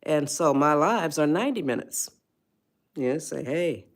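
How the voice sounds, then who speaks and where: Chatty eighty-one-year-old woman, unhurried. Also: low voice, lively intonation. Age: 80s. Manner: chatty, rambling, laughs often, greets viewers. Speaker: livestream host. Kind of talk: casual live-stream chat.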